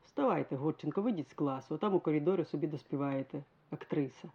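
A woman's voice: low-pitched, fast, breathy edge. A woman voicing a stern female schoolteacher scolding a pupil, in a dull old radio recording.

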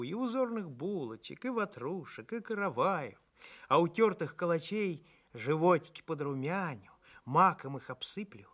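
A man's voice: fairly high pitch, fairly bright tone, pitched above the performer's own voice. A man reads as a proud, hospitable old village woman in an audiobook story. She talks in a homely, folksy rural manner, like a keen baker welcoming a guest.

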